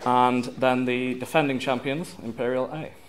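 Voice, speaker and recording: A man's voice: medium pitch, steady tone, moderate pace, a loud crowd. A man reading quiz questions formally as quizmaster, enunciating carefully in a live room. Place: university room at a quiz tournament. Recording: live room with background noise.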